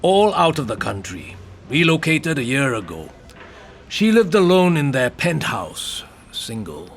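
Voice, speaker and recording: A man's voice: a little high, big pitch swings, unhurried, slight background noise. An actor playing a jaded, sardonic middle-aged male senior detective in an audio drama, curt and dry. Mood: weary, businesslike.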